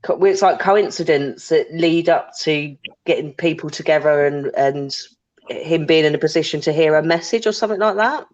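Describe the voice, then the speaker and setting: A woman with a deep voice co-hosting a podcast, chatting bluntly and casually, sometimes swearing. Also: normal speed, a little mellow. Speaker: podcast co-host. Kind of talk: casual chat about a paranormal case. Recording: dull lo-fi sound.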